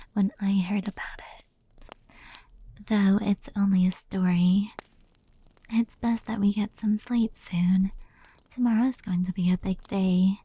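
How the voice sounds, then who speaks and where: A woman voicing an affectionate female fox spirit in a whispered anime ASMR roleplay, telling bedtime stories softly and intimately. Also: mid-range voice, poor audio. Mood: tender, playful. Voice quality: soft, breathy whisper. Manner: slow, intimate, hushed storytelling.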